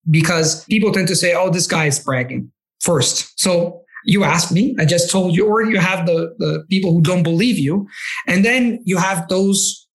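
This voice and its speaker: A man's voice: high voice, normal speed. A man, a language coach answering interview questions in a rambling way with many restarts. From Italy. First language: Italian.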